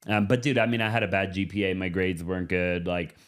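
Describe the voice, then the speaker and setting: Thirty-year-old male guest from Arizona, lowish voice, relaxed and reflective, easy agreeable talk. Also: brisk. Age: 30s. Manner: laid-back, agreeable, reflective. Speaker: podcast guest, entrepreneur. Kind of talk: casual interview chat.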